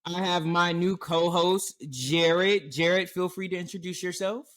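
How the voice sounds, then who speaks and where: Bright, lively man hosting a podcast. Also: high-pitched, a little slow. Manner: animated, quotes others in raised voices. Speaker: podcast host. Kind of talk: casual chat. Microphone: remote recording setup.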